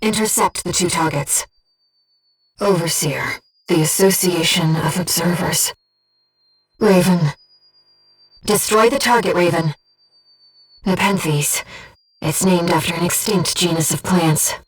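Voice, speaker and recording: A woman voicing a gentle, disembodied young female companion in a video game, giving a mercenary pilot composed, earnest and precise tactical reports in a soft, breathy voice. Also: fairly low pitch, takes it slowly, long pauses, distant mic, poor audio. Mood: calm, focused. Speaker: mission operator companion.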